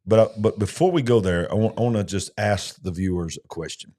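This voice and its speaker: Male host, fifties, Alabama drawl, fairly low pitch, folksy and conversational on a live show. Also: a little fast, mellow. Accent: Southern US (Alabama). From southern United States. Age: 50s. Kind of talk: casual live commentary with co-host.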